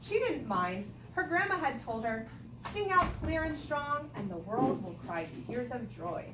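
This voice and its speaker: Animated, high-voiced woman teaching in echoey room. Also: unhurried. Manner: instructional, questioning, repeating children's answers. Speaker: elementary school teacher. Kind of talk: read-aloud lesson to young children with questions. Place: classroom with young children. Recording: echoey room, distant mic, noisy background.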